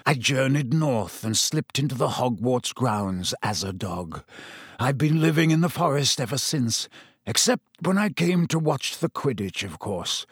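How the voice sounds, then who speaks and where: A gaunt, embittered runaway from a storybook, acted out by a man speaks in a croaky, harsh voice. His intense, deliberate delivery rises and falls dramatically, in a close dry recording. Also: mid-range voice, lively intonation, no echo. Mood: haunted intensity. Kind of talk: audiobook character acting.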